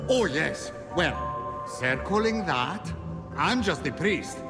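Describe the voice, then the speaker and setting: A man's voice: on the high side, expressive, takes it slowly. A man voicing a spaced-out, giggly temple priest who rambles dreamily, in a comic video game cutscene. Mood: dazed, musing. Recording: long reverb, music behind.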